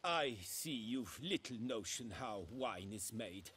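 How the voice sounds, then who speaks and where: An actor playing a fussy, proud winery steward in a fantasy game, formal and deferential. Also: male voice, mid-range voice, animated, slow pace, fairly bright tone, breathy edge, heavily acted. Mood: haughty, proud. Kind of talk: video game voice acting.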